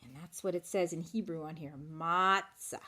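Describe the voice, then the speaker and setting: A woman reading a children's picture book aloud in an animated way, stopping to ask listeners questions. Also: low-pitched, moderate pace. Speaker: children's storytelling teacher.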